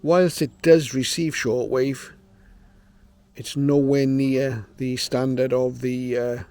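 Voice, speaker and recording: A man's voice: mid-range voice, little pitch movement, takes it slowly, darkish tone, clear, resonant tone, dry recording. A man giving an informal, matter-of-fact product demonstration, explaining things as he goes. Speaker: presenter of a radio receiver review.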